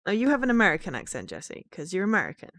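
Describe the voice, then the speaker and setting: A woman's voice: on the low side, huge pitch swings, racing, fairly bright tone, no echo. A young woman from the East Midlands with a fairly neutral English accent, chatting with her live-stream viewers while she plays a game, laughing often and teasing back. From England, East Midlands.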